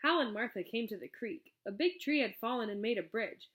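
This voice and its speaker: A woman's voice: fairly high pitch, a little fast. Woman reading a children's story aloud. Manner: expressive storybook reading with character dialogue. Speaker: read-aloud reader. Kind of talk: scripted children's story narration.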